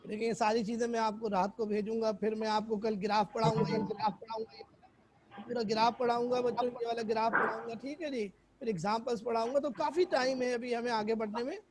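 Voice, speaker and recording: A hoarse man teaching a chemistry class online, quizzing students and repeating English definitions. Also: very high voice, steady tone, fast talker, slight background noise, low-quality recording.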